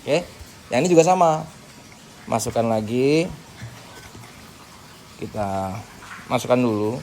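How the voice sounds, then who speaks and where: A man's voice: mid-range voice, animated, takes it slowly, mellow. A man giving a casual hands-on tutorial, informally talking through each step as he works. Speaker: tutorial host. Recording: clearly noisy background.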